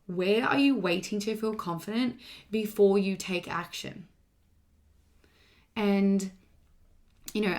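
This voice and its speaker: A woman hosting a motivational solo podcast as a coach, speaking casually and emphatically, repeating key points, with her voice slightly strained from partly losing it. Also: medium pitch.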